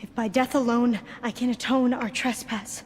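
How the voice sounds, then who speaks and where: An actress playing a formal, wary female diviner of a proud tribe in a video game, solemn and hesitant, with archaic phrasing and a fairly high voice. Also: fairly even intonation, moderate pace, a little hiss or hum, lightly acted. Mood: solemn, resigned. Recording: echoing hall, faint background ambience.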